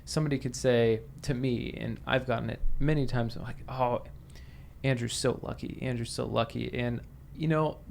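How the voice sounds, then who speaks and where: A man's voice: medium pitch, steady tone, normal speed, gravelly, a little mellow, slight background noise. A man hosting a podcast interview, talking casually with frequent restarts. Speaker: podcast host. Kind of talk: conversational interview.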